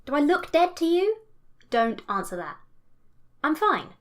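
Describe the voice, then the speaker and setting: A woman's voice: high-pitched, sing-song, measured pace. An actress playing a sensible, long-suffering female digital sprite who hosts a show, in a scripted audio comedy. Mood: exasperated. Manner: exasperated, matter-of-fact.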